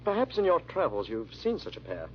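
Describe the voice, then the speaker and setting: An actor playing a suave, haughty villainous husband in theatrical 1940s radio drama style, smooth and impatient. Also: male voice, fairly high pitch, huge pitch swings, a little fast, slight background noise. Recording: muffled vintage radio recording.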